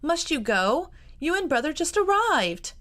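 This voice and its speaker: A woman voicing a sweet, earnest teenage gentlewoman in an audiobook, her voice pitched up. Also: sing-song, measured pace, full character voice. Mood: pleading. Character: young gentlewoman, teenage sister, sweet and eager.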